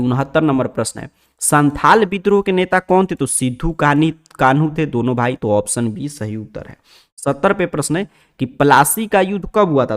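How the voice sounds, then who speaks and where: A man with a Bihari accent teaching exam prep, reading out questions and explaining each answer in a formulaic, instructional way. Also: fairly high pitch.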